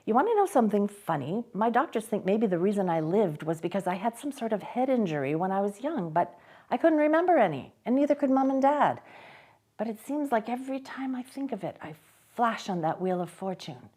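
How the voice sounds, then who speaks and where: A woman's voice: mid-range voice, very animated, a little fast, darkish tone, breathy edge, pitched above the performer's own voice. A woman voicing a young man recovering in hospital, teasing and oddly sure of what he knows. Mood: wistful.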